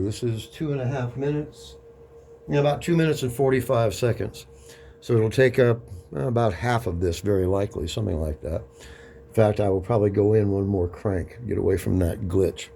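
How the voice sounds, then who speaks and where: A man with a mellow, gravelly voice talks casually through a hands-on demonstration. He sounds unhurried, half talking to himself, with faint noise behind him. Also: mid-range voice. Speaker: record-cutting hobbyist demonstrating a lathe. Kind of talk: casual narrated workshop demonstration.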